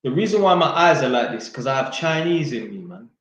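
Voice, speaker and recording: A man's voice: medium pitch, sing-song, a little fast, fairly bright tone. Blunt man in a very echoey room. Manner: blunt, swears freely, slangy and joking. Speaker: YouTuber answering viewer questions. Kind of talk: casual Q&A, reading out questions.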